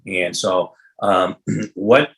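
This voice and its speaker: A man's voice: low voice, drawn-out words, fairly bright tone. A man in his fifties hosting a podcast, chatting informally and loosely with slang and repeated words. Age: 50s. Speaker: podcast host. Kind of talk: casual, rambling interview chat.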